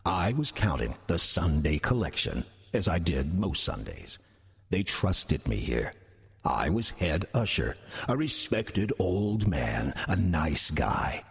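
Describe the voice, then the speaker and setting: An actor playing a sly, ancient demon posing as an old church usher, wry and sardonic, with an American accent, in an audio drama. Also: male voice, low-pitched, big pitch swings, gravelly, slightly rough, full character voice. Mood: wry confiding. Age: older. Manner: wry, sardonic, deliberate storytelling. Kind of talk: audio drama first-person narration.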